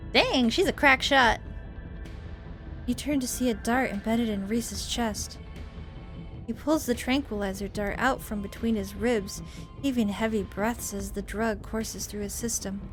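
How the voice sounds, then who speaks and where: High-voiced woman narrating over music. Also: steady tone, a little slow. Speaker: gamer narrating a visual novel playthrough. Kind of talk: reading game text aloud with casual commentary. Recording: music behind the voice. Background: game music.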